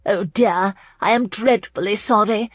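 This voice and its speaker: A woman's voice: medium pitch, expressive, moderate pace, dry recording, clean studio sound, pitched above the performer's own voice, close to an everyday voice. A woman voicing a chirpy, meddling society hostess with formal, effusive manners in an audiobook. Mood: chirpy, eager.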